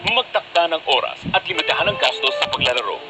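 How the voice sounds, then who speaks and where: Filipino guy doing a radio advisory, lively intonation, loud music behind him. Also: on the high side, fast talker. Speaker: public service announcer. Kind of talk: scripted advisory read.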